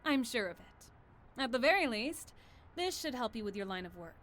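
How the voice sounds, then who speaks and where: Woman playing a proud, high-voiced exiled royal. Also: big pitch swings, moderate pace, a bit bright. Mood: composed, curious. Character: proud, ambitious exiled young female royal, would-be conqueror. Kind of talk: audio drama acting.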